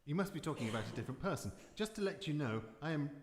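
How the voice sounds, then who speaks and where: A man's voice: on the high side, expressive, rapid-fire, a little hiss or hum, close to an everyday voice. Man playing a pompous professor in an echoey hall. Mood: indignant. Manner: pompous, formal. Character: pompous, sceptical male professor of medicine. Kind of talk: live radio drama acting. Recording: echoey hall, live stage performance.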